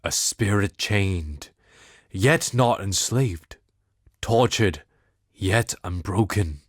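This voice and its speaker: A man acting a brooding, solemn desert mystic in a video game, speaking in measured, portentous, cryptic monologue. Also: low-pitched, animated, no room sound, clean studio sound, full character voice. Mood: dark, portentous. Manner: measured, portentous, wryly philosophical.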